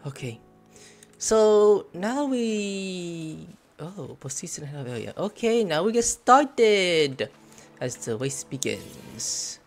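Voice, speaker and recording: A male gameplay streamer commenting casually, excitable and swearing while playing. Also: high voice, takes it slowly. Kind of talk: live game commentary. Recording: commentary over game music.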